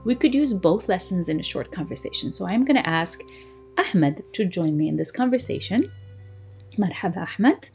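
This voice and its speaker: A woman teaching a language lesson, explaining and slowly repeating phrases for learners to copy. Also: medium pitch, lively intonation, darkish tone, breathy edge, soft background music. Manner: slow, deliberate repetition of phrases for learners; switches between explaining and modelling phrases.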